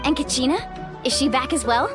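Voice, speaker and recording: A woman's voice: high voice, a little fast, music in the background, natural voice. A woman playing a friendly, easygoing young guide in a video game, with a breezy, casual and reassuring manner. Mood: concerned, relieved. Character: Mualani, friendly young female water-tribe guide, easygoing and upbeat. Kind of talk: video game character acting.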